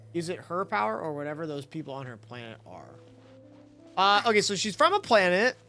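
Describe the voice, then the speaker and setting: A man's voice: a little high, bright, forceful tone. A man doing casual live game commentary as a streamer, blunt and slangy.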